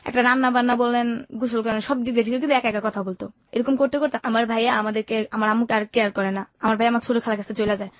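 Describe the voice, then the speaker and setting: A woman's voice: a little high, steady tone, racing, no room sound. A young woman with a Bangladeshi Bengali accent, telling her personal life story in colloquial, run-on sentences as an interview guest. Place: radio studio.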